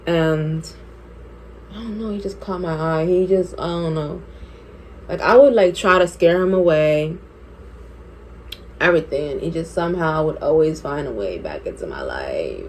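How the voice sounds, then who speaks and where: A woman's voice: low-pitched, animated, measured pace, pauses often, darkish tone, a little hiss or hum, low-quality recording. A woman in her twenties telling a personal story while doing her makeup, chatty and candid, laughing often. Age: 20s. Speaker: beauty vlogger.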